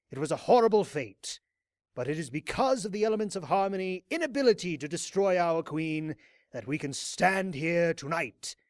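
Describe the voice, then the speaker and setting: Grandiose, high-pitched fanatical cult leader. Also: male voice, a bit bright, dry recording, studio quality, exaggerated voice. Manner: grandiose, preacher-like oratory with dramatic pauses. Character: fanatical male unicorn cult leader rallying his followers.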